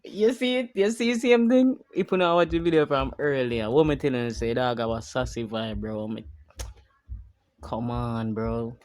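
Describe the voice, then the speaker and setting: A man's voice: mid-range voice, steady tone, normal speed, a little mellow. Man, reaction commentary, blunt and mocking, salty language. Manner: blunt, mocking, swears freely. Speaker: reaction video host.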